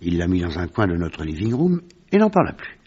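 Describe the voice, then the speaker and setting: An actor playing a gentleman narrator who recounts a detective mystery in formal, vivid French radio storytelling with a husky voice. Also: deep voice, wildly swooping pitch, brisk, highs cut off, exaggerated voice. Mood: narrating, suspenseful.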